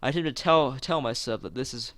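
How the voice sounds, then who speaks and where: A man's voice: mid-range voice, brisk, fairly bright tone, no room sound. A man streaming a let's play, reading a visual novel aloud with casual asides, often tripping over words and rereading them. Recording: music playing behind the voice.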